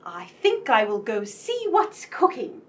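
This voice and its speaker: An inquisitive, genteel lady in a radio drama, acted by a woman. Her voice is high and highly varied in melody, and she speaks with courteous, well-read precision. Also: wildly swooping pitch, a little slow. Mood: gently probing. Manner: polite, inquisitive. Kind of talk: radio play acting.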